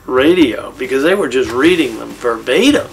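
A middle-aged American man with a creaky, medium-pitched voice reminisces in an interview. Faint music sits behind him. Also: animated, normal speed, poor audio.

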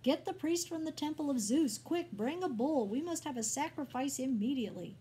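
A woman voicing awestruck ancient villagers in a story, chanting and calling out excitedly. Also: sits high, normal speed, slight background noise, voice pushed up. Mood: awed, excited. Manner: chanting, shouting.

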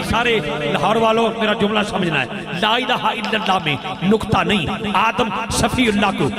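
High-voiced man preaching over echoing crowd. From Pakistan. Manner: rhythmic rising chant-like delivery. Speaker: naqabat presenter at a religious gathering. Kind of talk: devotional oratory leading call-and-response. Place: large decorated open-air gathering in a bazaar. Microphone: handheld stage microphone held close. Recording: echoey public address before a large crowd.

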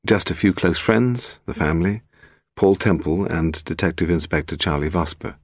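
A British man narrating a detective novel as an audiobook in a measured storytelling manner. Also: sits low, no room sound, clean studio sound.

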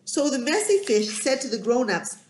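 A middle-aged woman telling a children's story in an expressive, emphatic, sing-song way, recorded in a room at home. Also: high-pitched, normal speed, a little hiss or hum. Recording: roomy, echoey home recording.

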